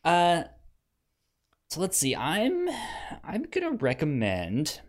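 A man chatting casually as a podcast co-host. His pitch swings around in a lively way, though he talks slowly and haltingly, repeating words as he goes. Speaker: podcast co-host reviewing anime. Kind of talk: casual chat.

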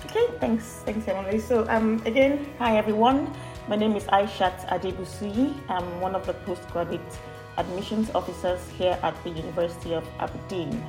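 A woman's voice: medium pitch, normal speed, a little mellow, soft background music. Nigerian woman in an echoey room. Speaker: guest, university postgraduate admissions officer. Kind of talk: conversational podcast interview. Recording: roomy, echoey sound.

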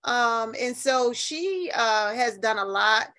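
A woman's voice: a little high, lively intonation, slow, bright, forceful tone. A woman in her fifties with an American accent, telling stories casually and emphatically as an interview guest. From New Haven, United States. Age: 50s.

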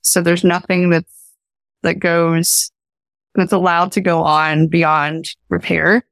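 A woman's voice: fairly low pitch, level tone, slow pace, fairly bright tone, no room sound. A young woman with an Appalachian accent answering interview questions as a research scientist, earnest and informal in the way she talks. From Appalachia, United States. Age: young adult. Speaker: professor and research scientist, podcast guest.

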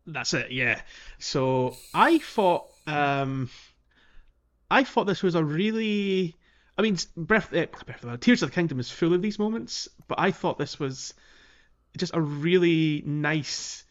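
A man's voice: a little high, expressive, measured pace, lo-fi sound. A man co-hosting a podcast, talking casually about a video game playthrough in a chatty, hesitant way. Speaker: podcast co-host.

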